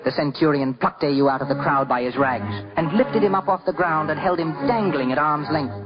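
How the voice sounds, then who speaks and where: A man's voice: a little high, moderate pace. A man narrating a scripted story in 1950s radio style, theatrical and lyrical with dramatic emphasis. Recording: dull old radio recording. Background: prominent music.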